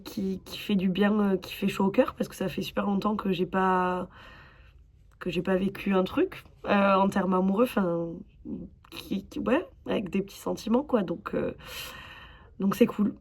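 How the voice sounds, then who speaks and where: A woman's voice: mid-range voice, a little mellow, breathy edge. A woman from southwest France talking candidly and informally in a casual personal vlog. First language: French. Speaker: vlogger.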